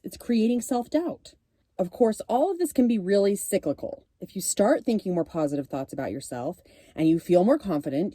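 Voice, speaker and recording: A woman's voice: on the low side, dark tone, no echo, studio quality. A woman podcast host and confidence coach with a cold, giving an earnest, encouraging solo talk. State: has a cold.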